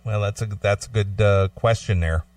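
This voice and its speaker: A man's voice: fairly low pitch, normal speed, darkish tone, no echo. A man hosting a casual talk show in a blunt, conversational way, at times reading an article aloud. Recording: slightly distant microphone, dry sound.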